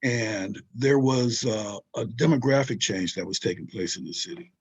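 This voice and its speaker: A man's voice: mid-range voice, moderate pace, slightly rough. An older man from Southern California, a historian being interviewed, who talks in emphatic, digressive runs and keeps checking in with "right?" and "you know?". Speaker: guest, historian and author. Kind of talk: interview conversation.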